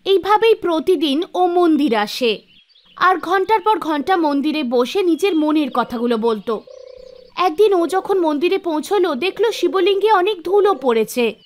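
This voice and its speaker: A woman's voice: very high voice, expressive, normal speed. A woman reading scripted narration for a devotional cartoon story. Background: background music.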